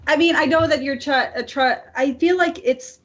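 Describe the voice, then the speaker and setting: Dull lo-fi recording, higher-voiced woman. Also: moderate pace, a bit bright. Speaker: podcast speaker. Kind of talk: casual discussion. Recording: dull lo-fi audio.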